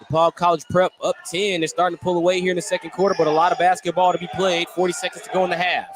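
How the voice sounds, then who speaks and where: A man's voice: sits high, little pitch movement, racing. A man calling live basketball play-by-play for radio from a noisy indoor gym. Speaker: play-by-play announcer. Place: indoor school gymnasium. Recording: noisy gym ambience, bouncing balls.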